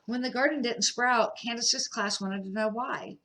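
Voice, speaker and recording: Bright-voiced older woman reading a storybook. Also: on the high side, normal speed. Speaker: grandmother reading a children's picture book aloud. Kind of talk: amateur children's storybook read-aloud.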